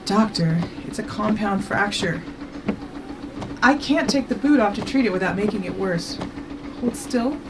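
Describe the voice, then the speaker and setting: A woman's voice: mid-range voice, animated, measured pace, noisy background. A woman playing a practical, worried companion in an audio drama, questioning and no-nonsense in manner. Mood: firm, worried. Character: practical, anxious female companion of a time traveller.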